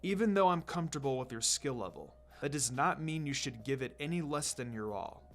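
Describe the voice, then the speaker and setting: A narrator voicing a calm, lazy male ninja teacher who instructs in a measured, low-key way. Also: soft background music, natural voice. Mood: calm, instructive.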